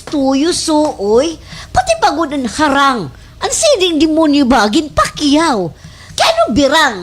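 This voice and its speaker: Shrill, high gossiping village woman. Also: a bit bright, heavily acted. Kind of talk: slapstick radio drama acting.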